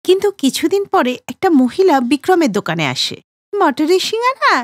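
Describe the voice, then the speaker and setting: A woman narrating a children's cartoon story in a steady storytelling manner. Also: sits high, a little fast, dry recording, clean studio sound.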